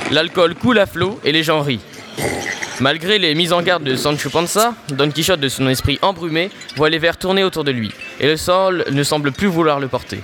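A man's voice: on the high side, background noise, natural voice. A man narrating an audio drama in a literary, dramatic storytelling style.

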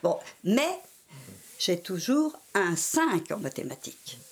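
An older French woman recalling her schooling and early adult life in an interview, telling it in a measured way in the present tense. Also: fairly low pitch, sing-song, slightly rough. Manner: measured storytelling in the historic present. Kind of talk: oral history interview.

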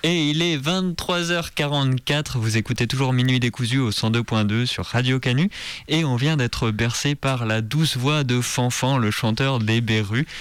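A man's voice: medium pitch, moderate pace, clean tone, dry recording. A man hosting a radio show in a casual live presenting style, close on a studio mic.

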